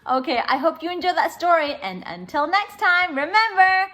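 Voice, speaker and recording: A woman hosting a children's story time, reading a picture book aloud in an expressive, sing-song way. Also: extremely high pitch, normal speed, faint music behind.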